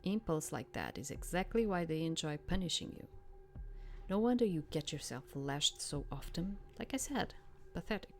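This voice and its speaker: A woman voicing a cynical male prisoner in a dark fantasy game, mocking and contemptuous. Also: fairly low pitch, animated, normal speed, slight background noise. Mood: irritated, mocking.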